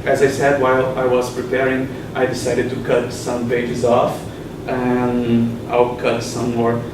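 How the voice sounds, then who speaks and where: A man's voice: medium pitch, completely flat delivery. Brazilian man presenting at a conference. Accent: Brazilian Portuguese. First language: Portuguese. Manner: halting, many pauses and fillers. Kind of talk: academic conference talk. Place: conference session room. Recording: echoey room from far off, noisy.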